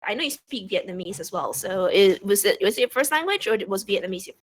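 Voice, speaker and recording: A woman co-hosting a casual chat, animated and quick to ask questions. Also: medium pitch. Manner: animated, questioning, laughs easily.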